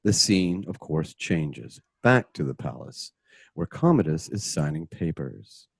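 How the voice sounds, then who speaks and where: A man with a husky voice narrating a radio drama from a script. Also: rumbling low, big pitch swings, unhurried, no echo.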